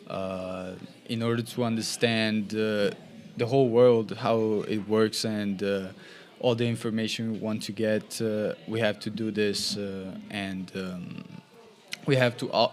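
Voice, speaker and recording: A young man, speaking hesitantly in a podcast discussion with many fillers. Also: lowish voice. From Italy.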